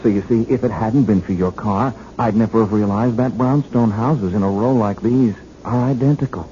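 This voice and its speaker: An actor playing a shrewd, folksy detective who needles suspects in a deliberate, triumphant way, in 1970s radio drama style. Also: male voice, on the low side, warm tone, close to an everyday voice. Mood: smug, needling. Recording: muffled, hissy 1970s radio recording.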